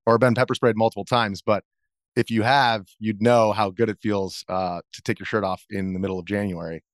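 American male interview guest, lowish voice, casual delivery, dry close sound. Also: steady tone, a little fast, no echo. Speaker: interview guest, video journalist.

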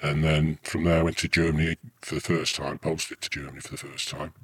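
A man's voice: rumbling low, little pitch movement, brisk, husky. A British man, middle-aged or older, an army veteran telling rambling, earthy anecdotes casually in an interview. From United Kingdom.

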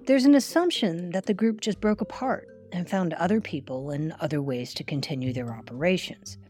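A woman's voice: mid-range voice, mellow. A woman hosting a cyber news podcast, reading scripted narration in a conversational way. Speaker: podcast host.

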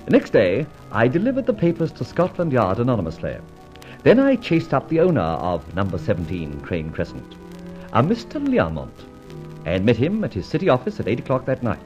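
An actor playing a jaunty gentleman adventurer who narrates his exploits in a breezy, dramatic radio drama style. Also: mid-range voice. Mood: brisk. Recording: dull vintage radio recording, music underneath. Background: music.

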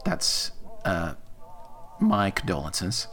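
An actor playing an awkward, hesitant diner manager in a naturalistic audio drama, blunt and a little flustered. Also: male voice, low-pitched, wildly swooping pitch, drawn-out words, a bit bright, slightly rough, soft background music, heavily acted. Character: awkward, harried diner manager, socially clumsy man. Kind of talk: naturalistic audio fiction acting.

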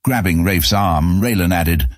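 A man's voice: deep voice, a little slow, no echo, clean studio sound. A man narrating a scripted fantasy story as an audiobook narrator.

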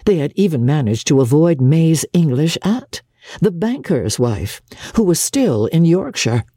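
A woman reading a classic novel aloud as a dramatic audiobook narrator, in a measured, expressive way with deliberate phrasing. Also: low-pitched, breathy edge, no room sound, studio quality.

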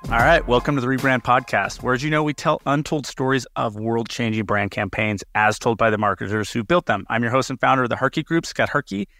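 A man hosting a business podcast in a chatty, enthusiastic way, often stumbling over and repeating his words. His voice is bright and forward. The sound is dry and close. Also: mid-range voice, a little fast, few pauses, dry recording, soft background music. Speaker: podcast host. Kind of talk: casual interview hosting.

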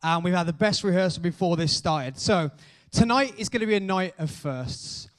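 Male host on stage, high excited welcome, joking with the audience. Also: normal speed. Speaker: host of a live show. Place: stage in a venue.

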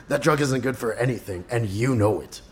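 An actor playing a weary, conflicted debt collector in an audio drama, terse and sardonic. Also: male voice, medium pitch, normal speed, close to an everyday voice. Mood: sardonic, curt.

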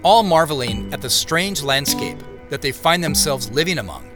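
An actor playing a wistful, imaginative man who narrates an audio drama in a measured, descriptive storytelling manner. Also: fairly high pitch, animated, lightly acted. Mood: wistful, reflective. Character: wistful, imaginative male narrator picturing the past. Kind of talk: reflective first-person audio drama narration. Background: music.

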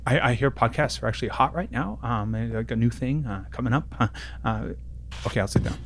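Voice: mid-range voice, fairly quick, a little hiss or hum, lightly acted. An actor playing a meek, stammering, apologetic agency owner in a comedy audio drama. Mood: timid, eager. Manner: hesitant, stammering, apologetic, eager to please.

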